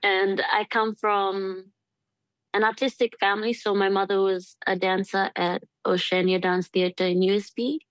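A young woman from Fiji with a Fijian accent, a singer answering interview questions shyly and haltingly. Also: mid-range voice, level tone, clean tone, no room sound, poor audio. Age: young adult. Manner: shy, laughs often, halting. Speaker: singer, guest. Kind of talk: casual interview.

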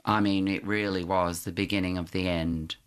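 A middle-aged man, an interview guest, recalling his school days in a reflective, storytelling way, with frequent restarts and soft laughs. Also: deep voice, flat delivery, normal speed, clean tone.

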